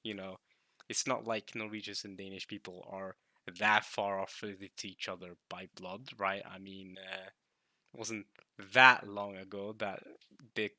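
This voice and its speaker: Brief: Norwegian male reactor, waffling casual talk, sharp bright voice, no room sound. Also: low voice, steady tone, measured pace, dry recording. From Norway. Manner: rambling, self-interrupting, laughing often. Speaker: reaction video host.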